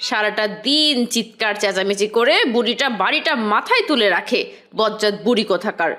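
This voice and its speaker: A woman's voice: mid-range voice, expressive, fairly quick, fairly bright tone, lightly acted. A woman voicing a vain, grumpy young daughter-in-law in a family cartoon, grumbling with irritation. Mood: irritated. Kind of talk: Bengali family cartoon voice acting.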